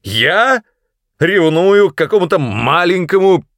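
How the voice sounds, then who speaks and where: A man voicing a vain, explosive male demon mentor in an audiobook, with a gruff, barking, indignant manner. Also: low voice, big pitch swings, moderate pace, no room sound.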